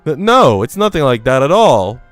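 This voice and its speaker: A man's voice: medium pitch, sing-song, moderate pace, no echo, soft background music, lightly acted. A man voicing an earnest, easily flustered young maid from a visual novel, with a hesitant stammer. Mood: flustered, defensive.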